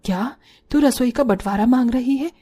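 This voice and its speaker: A woman's voice: a little high, normal speed, mellow, faint music behind, close to an everyday voice. A woman voicing an older mother-in-law, a homely, concerned family matriarch in a cartoon story. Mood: admonishing. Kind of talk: Hindi cartoon story acting.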